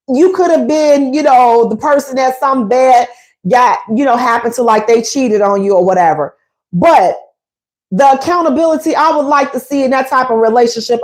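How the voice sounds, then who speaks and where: Slangy, emphatic woman giving dating advice. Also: sits high. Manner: conversational, emphatic, repeats points, slangy. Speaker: relationship advice host. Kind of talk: casual advice talk.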